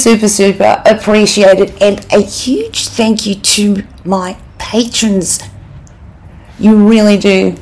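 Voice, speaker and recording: Unhurried woman hosting a podcast. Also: mid-range voice, a little mellow, poor audio. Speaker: podcast host. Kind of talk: casual podcast sign-off and commentary.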